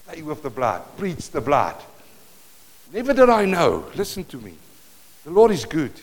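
A man from South Africa with an Afrikaans accent, preaching a sermon to a congregation and telling a personal story with animated bursts. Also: on the high side, a little slow. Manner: storytelling, animated bursts, moves around the stage. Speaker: pastor. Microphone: worn or handheld mic that cuts in and out while he moves.

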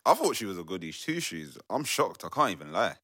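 A deep-voiced young guy played by an actor, an easygoing supportive brother with lively swings of intonation in an audio drama. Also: fairly quick, no echo, clean studio sound, heavily acted. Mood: casual, concerned. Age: young adult. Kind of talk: modern audio drama acting.

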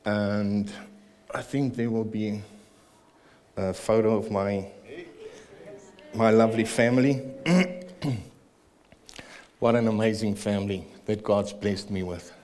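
A man with a South African accent speaks at a church service, his voice sitting on the low side. He is earnest and a touch nervous, pausing with fillers as he shares his testimony and prays. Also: steady tone, a little mellow. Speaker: church member giving testimony. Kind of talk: personal testimony and prayer in church.

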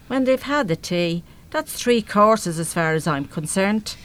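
A woman's voice: medium pitch, lively intonation, unhurried, fairly bright tone. A middle-aged Irish mother in a radio play. She is brash and salty, with blunt, colloquial working-class speech and a big heart underneath. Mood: breezy, bossy. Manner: blunt, colloquial, mildly sweary. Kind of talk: live radio play acting.

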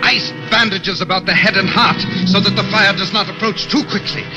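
A man acting a fanatical, cruelly eager friar in a 1940s radio drama, shrill and excitable. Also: brisk, loud music behind the voice, natural voice. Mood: gleefully cruel. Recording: dull vintage radio recording.

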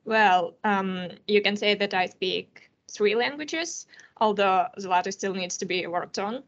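A nineteen-year-old woman from Russia, a native Russian speaker with a Russian accent, answering interview questions as a student guest, careful and often pausing to hesitate. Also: medium pitch, a bit bright, highs cut off. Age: teens.

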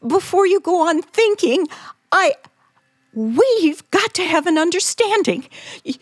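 An actress playing a frantic, terrified middle-aged woman held captive, halting and stammering in the manner of an old radio suspense play. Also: very high-pitched, no echo, clean studio sound, heavily acted. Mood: frantic pleading. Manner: breathless, stammering, pleading, halting. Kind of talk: old-time radio suspense drama acting.

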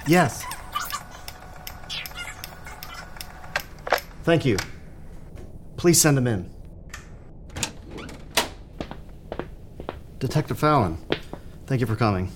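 A man playing an earnest, troubled psychiatrist in an audio drama, speaking in a measured, polite, halting way. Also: medium pitch, animated, background noise, lightly acted. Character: earnest, hesitant male psychiatrist, troubled but professional.

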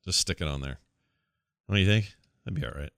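A man's voice: sits low, fairly quick, fairly bright tone, dry recording, clean studio sound. A man hosting a show with casual morning chat. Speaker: show host.

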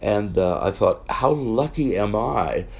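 Older male guest, low and loud, animated raconteur. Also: slow, creaky. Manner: animated storyteller, exclaims. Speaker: guest, veteran musical performer. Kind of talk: conversational interview.

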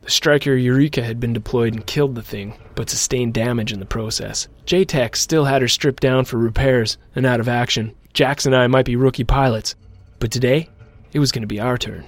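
A man playing a rookie mech pilot, narrating his own story in a measured, reflective way in an audio drama. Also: medium pitch, natural voice. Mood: grave. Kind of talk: scripted first-person audio drama narration.

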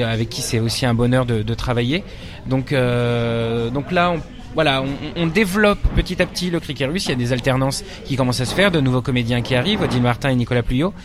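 A young man from France, an actor and stage director, answering interview questions with many fillers and restarts. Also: medium pitch.

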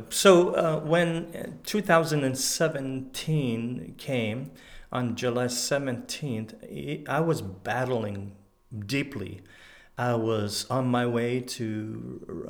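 Halting middle-aged man, French Canadian-sounding. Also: medium pitch. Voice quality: halting aphasic speech after a stroke. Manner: candid, many pauses and fillers. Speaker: guest, former pastor. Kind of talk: personal testimony in an interview.

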